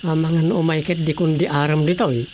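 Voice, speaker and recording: An actress playing an inquisitive, gossiping village relative in a Filipino radio drama comedy, with an Ilocano accent. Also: female voice, low-pitched, steady tone, fairly quick, darkish tone, slight background noise, lightly acted. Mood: curious, gossipy.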